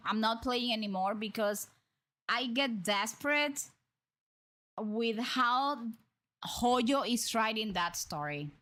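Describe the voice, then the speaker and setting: A woman's voice: a little high, a bit bright. A woman streamer with a Dominican accent chatting casually with viewers, rambling with frequent restarts and repeated words. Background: music.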